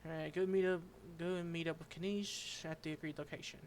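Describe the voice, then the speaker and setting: A man streaming a game, reading its dialogue aloud and commenting, halting and stumbling over unfamiliar names. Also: on the high side, little pitch movement, slight background noise.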